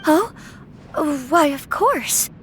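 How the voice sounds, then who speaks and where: An actress playing a refined, gentle young noblewoman in a video game, speaking politely and daintily. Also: very high-pitched, animated, drawn-out words, background noise, full character voice. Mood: curious, gracious.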